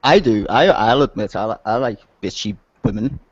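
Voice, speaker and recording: A man in casual discussion, halting as he searches for his words. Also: lowish voice, animated. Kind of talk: casual chat. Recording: dull lo-fi internet call audio.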